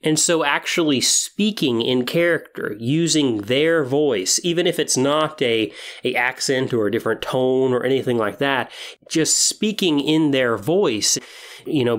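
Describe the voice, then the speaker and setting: A man hosting a podcast in a semi-scripted monologue, explaining things in an earnest, measured way with emphatic pauses. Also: mid-range voice, fairly bright tone. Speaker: podcast host. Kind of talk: semi-scripted podcast monologue about tabletop role-playing.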